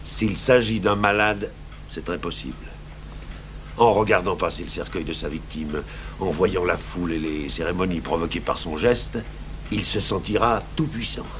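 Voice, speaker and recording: An actor playing a gruff, brooding, stubborn French police commissioner in a 1980s radio drama. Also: male voice, low voice, moderate pace, fairly bright tone. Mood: grave. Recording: noisy old radio broadcast recording.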